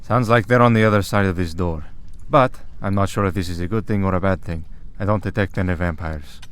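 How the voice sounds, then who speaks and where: Man playing a low-voiced, wry vampire priest. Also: brisk, clear, resonant tone, natural voice. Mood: cautious, wry. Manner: dry, sardonic, reassuring. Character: centuries-old male vampire priest hunter, wry, weary, protective. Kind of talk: acted audio roleplay.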